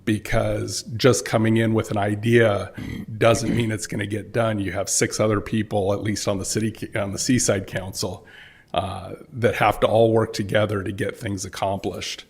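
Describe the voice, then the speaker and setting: A man speaking as a city council candidate at a public forum, measured and pausing often on fillers. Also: lowish voice, level tone, gravelly, breathy edge.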